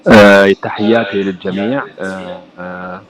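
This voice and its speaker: A man giving a formal greeting speech, hesitant and halting. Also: deep voice, steady tone, faint music behind, low-quality recording. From Syria. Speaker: national president of a youth organization.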